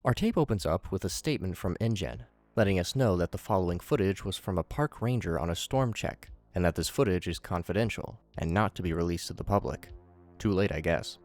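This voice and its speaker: A man's voice: deep voice, brisk, darkish tone, dry recording. A man narrating a scripted recap with commentary, adding wry, joking asides.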